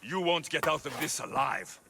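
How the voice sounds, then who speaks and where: A stern, commanding mercenary. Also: male voice, a little high, normal speed, creaky, pushed and bright, slight background noise. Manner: firm, interrogating, blunt. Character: hard-edged young male Greek mercenary, commanding. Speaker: player character, mercenary. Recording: game score underneath.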